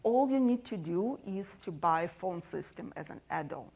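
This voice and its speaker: A woman with a soft voice giving a technical conference presentation, explaining steadily with frequent fillers. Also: lowish voice, expressive, moderate pace. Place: conference session room.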